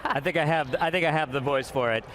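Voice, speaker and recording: A man hosting a live broadcast in an upbeat, jokey, casual unscripted way, full of excitement. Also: a little high, quick pace. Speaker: event broadcast host. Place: live set in a convention center. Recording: noisy convention hall background.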